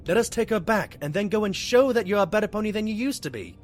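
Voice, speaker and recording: An earnest sidekick stallion played by a man, high and lively in pitch. He is brave, kind and sincere, a detective's partner in a cartoon audio drama. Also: fast talker, faint music behind. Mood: firm, earnest.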